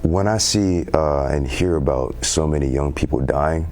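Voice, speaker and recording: Deep-voiced earnest teenage boy. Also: a little mellow. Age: teens. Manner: earnest, measured, halting with fillers. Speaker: youth council member. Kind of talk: community TV interview.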